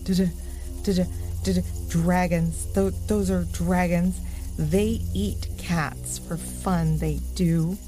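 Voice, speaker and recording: A woman's voice: on the low side, fairly even intonation, drawn-out words, mellow, soft background music. A woman voicing a jittery, mischievous young raccoon boy who stutters when frightened. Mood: scared.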